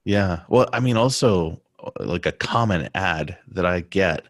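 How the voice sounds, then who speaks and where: An American man co-hosting a casual podcast, explaining things in a halting way with lots of fillers and restarts. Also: sits low, expressive, no echo, clean studio sound. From United States. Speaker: podcast co-host. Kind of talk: casual conversation.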